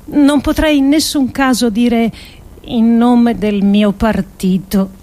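An actress playing an earnest, resolute woman politician who delivers a solemn theatrical speech with measured, emphatic phrasing. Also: fairly high pitch, steady tone, mellow. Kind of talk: theatrical monologue, solemn oratory.

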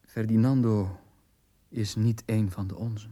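A man's voice: fairly low pitch, fairly even intonation, unhurried, dark tone. A man acting in a radio drama, playing a proud, cultured dwarf nobleman and father in an articulate, theatrical manner. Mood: grave.

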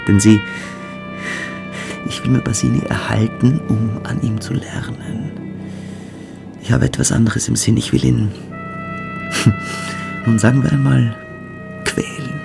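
A man playing a calculating, cruel young military-school cadet in a German radio drama, speaking with deliberate, coolly superior menace. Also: mid-range voice, fairly even intonation, vocal fry, over music. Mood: quietly menacing.

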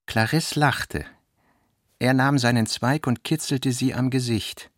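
A man with a medium-pitched voice narrates literary prose for a radio drama. The recording is very dry, and he delivers the quoted dialogue within his narration. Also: steady tone, slow pace, fairly bright tone, no echo.

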